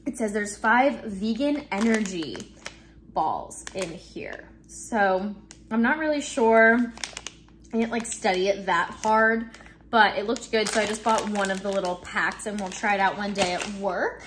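A woman in her twenties chatting casually in her home kitchen, relaxed and full of ums and likes, filmed by a camera in front of her. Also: medium pitch, measured pace, a little hiss or hum. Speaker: vlogger. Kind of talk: casual grocery haul chat. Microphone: camera in front, close framing.

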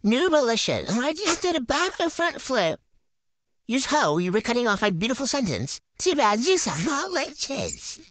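A woman's voice: a little high, huge pitch swings, normal speed, a bit bright, dry recording, pitched above the performer's own voice, heavily acted. A woman voicing a bratty, ditzy female gymnast in a silly game roleplay, with a boastful valley-girl drawl, on a dry close mic. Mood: bossy. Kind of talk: improvised game roleplay voiceover.

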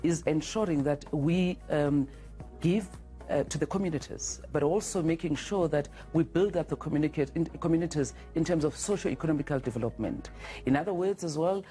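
Middle-aged woman CEO guest, dark mellow tone, slow halting answers, lapel mic in a TV studio. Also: low voice, soft background music. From South Africa. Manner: measured, halting with fillers and restarts. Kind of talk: TV morning show interview. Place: TV studio set.

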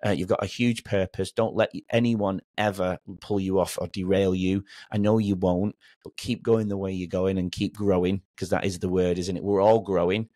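A man's voice: low voice, flat delivery, moderate pace, few pauses, dark tone, dry recording, studio quality. A man in his forties hosting a conversational podcast interview, speaking in an encouraging, affirming way. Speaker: podcast host. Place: small home studio indoors.

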